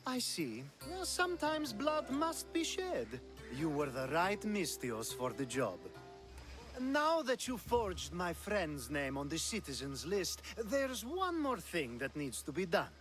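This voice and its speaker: A man's voice: high voice, wildly swooping pitch, slow, over music, full character voice. Sly, flirtatious, swooping Greek nobleman. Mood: smooth, scheming. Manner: playful, teasing, theatrical. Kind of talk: video game cutscene acting.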